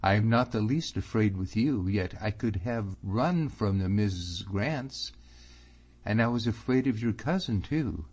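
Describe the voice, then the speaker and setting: An earnest, anxious young man, read by a male narrator in nearly his own voice, slow and measured. The pitch moves a lot from phrase to phrase. The sound is slightly muffled. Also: animated, darkish tone. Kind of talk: audiobook character voice.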